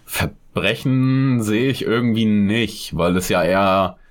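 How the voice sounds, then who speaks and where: A man co-hosting a casual true-crime podcast, joking around with crude slang and lively asides. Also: on the low side, takes it slowly. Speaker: podcast co-host.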